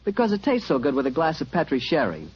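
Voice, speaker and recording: An American man announcing and pitching a sponsor in polished, emphatic 1940s radio style. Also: wildly swooping pitch, fast talker, husky. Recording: dull 1940s radio broadcast, music behind.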